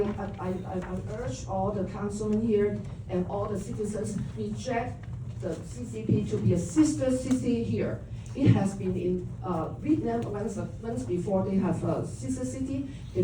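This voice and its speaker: A woman's voice: mid-range voice, moderate pace. A woman from Hong Kong giving a public comment at a city council meeting, speaking urgently and stumbling over repeated words. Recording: echoey chamber, far mic, noisy.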